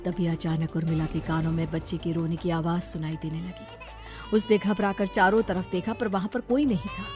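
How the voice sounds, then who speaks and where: Female narrator, lowish voice, scripted horror storytelling, faint background music.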